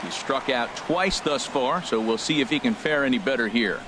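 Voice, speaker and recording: Man acting as baseball play-by-play announcer, composed, professional, medium-pitched, sports video game, noisy ballpark behind. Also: normal speed, lightly acted. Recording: stadium noise behind the commentary.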